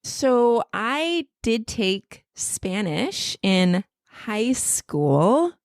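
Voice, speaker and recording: A woman's voice: on the high side, sing-song, extremely slow, no echo, clean studio sound. A woman from the United States in her thirties, co-hosting a casual podcast with bubbly, giggly, playful teasing. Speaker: podcast co-host.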